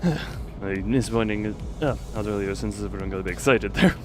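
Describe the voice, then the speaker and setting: A man's voice: on the low side, fairly quick, background noise. A man chatting casually on a game livestream, rambling with playful asides. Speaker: livestreamer playing and voicing a game. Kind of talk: casual live stream chat. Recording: faint game music behind.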